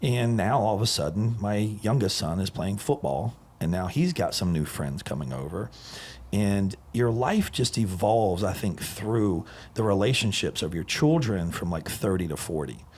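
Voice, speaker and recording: A man's voice: lowish voice, expressive, normal speed, darkish tone, slight background noise. A man in his forties hosting a podcast, telling stories in an enthusiastic, rambling casual chat. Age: 40s. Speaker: podcast host.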